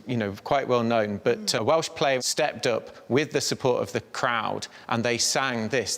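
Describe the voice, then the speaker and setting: A man's voice: medium pitch, creaky, a bit bright, in a large hall. A man acting as a museum guide, explaining history informatively with some hesitant fillers, indoors in a museum. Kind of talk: explaining to a presenter.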